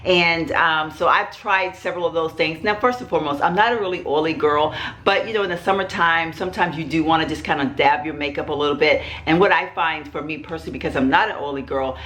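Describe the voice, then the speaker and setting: A woman's voice: low-pitched, fairly bright tone. A middle-aged woman, sick with a cold, chatting casually and rambling to her viewers as a vlog host. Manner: rambling, chatty, many fillers. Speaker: vlogger host. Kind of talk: casual chat to camera.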